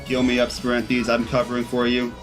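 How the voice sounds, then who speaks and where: A man's voice: medium pitch, completely flat delivery, brisk. A man giving casual live gameplay commentary as a streamer, rambling and thinking aloud as he goes. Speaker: video game streamer. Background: game music.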